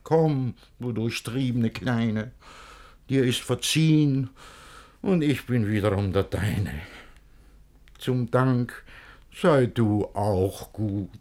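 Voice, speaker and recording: An actor playing a jealous, lovesick older guardian in a German radio verse comedy, theatrical, blustering and pleading. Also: male voice, medium pitch, slow pace, pauses often. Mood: resigned, tender. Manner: theatrical, swinging from outrage to hushed pleading.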